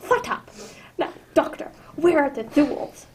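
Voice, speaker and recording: A woman's voice: sits high, a little slow. A woman playing a menacing, impatient criminal boss in a radio drama, curt and threatening. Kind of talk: amateur radio drama acting. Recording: faintly noisy amateur recording.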